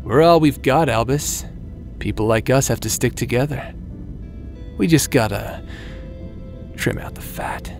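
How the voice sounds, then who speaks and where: Slow, taunting villain played by a man. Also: medium pitch, soft background music, close to an everyday voice. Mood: coaxing. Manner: drawn-out, mocking, theatrical delivery. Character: taunting male villain, manipulative, mocking, coldly friendly tempter. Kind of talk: dramatic audio roleplay acting.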